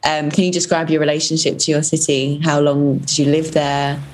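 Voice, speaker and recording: A woman with a husky voice asking friendly, casual questions as an interviewer. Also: sits low, level tone, moderate pace, a little mellow, poor audio.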